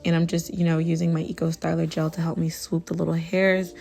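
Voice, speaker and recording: A young woman with a hoarse, raspy voice from tonsillitis, talking through a hair tutorial in a casual, chatty way with lots of "you know.". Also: low voice, brisk. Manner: chatty, relaxed, full of fillers like "you know". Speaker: beauty vlogger.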